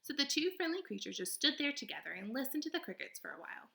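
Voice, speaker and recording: A woman's voice: high-pitched, big pitch swings, fast talker, gravelly, pushed and bright. A woman reading a storybook aloud as narrator, expressively, with casual spoken asides.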